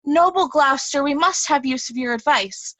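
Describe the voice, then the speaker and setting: A woman's voice: high-pitched, normal speed, a bit bright, dry recording. A woman playing a poised noble princess in Shakespearean reader's theatre, speaking with formal courtesy. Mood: courteous, persuasive.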